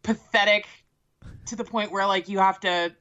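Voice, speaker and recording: A woman's voice: medium pitch, fairly even intonation, normal speed, sharp tone. A woman co-hosting a casual podcast, bantering with sarcastic, profane humor. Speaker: podcast co-host. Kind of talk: casual chat. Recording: dull lo-fi sound.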